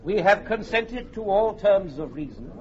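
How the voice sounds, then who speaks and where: A man's voice: sits high, animated, unhurried. An actor playing a solemn older king of France, a father giving his blessing, in Shakespearean radio drama style. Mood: solemn, conciliatory. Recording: muffled old radio recording.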